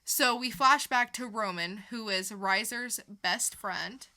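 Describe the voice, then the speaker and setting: A woman's voice: a little high, takes it slowly, bright, forceful tone. A woman co-hosting a podcast, chatting casually through a comic book review and retelling it with casual swearing. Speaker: podcast co-host.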